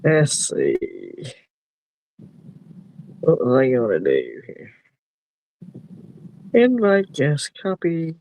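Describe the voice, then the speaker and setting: Easygoing male stream host, slightly high voice, gravelly edge, rambling talk. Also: huge pitch swings, drawn-out words, long pauses, a little mellow, slight background noise, low-quality recording. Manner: rambling, easygoing, laughs easily. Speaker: livestream host. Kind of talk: casual chat.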